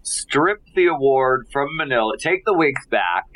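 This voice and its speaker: A man's voice: medium pitch, expressive, a little slow, fairly bright tone, slight background noise, poor audio. A man co-hosting a casual podcast, playing up mock outrage between laughs. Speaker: podcast co-host. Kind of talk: casual recap chat.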